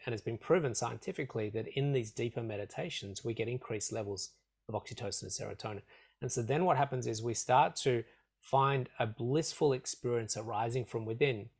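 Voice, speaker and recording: A man with a slightly croaky throat, a meditation teacher giving earnest, measured answers in an interview and repeating phrases for emphasis. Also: medium pitch. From Australia.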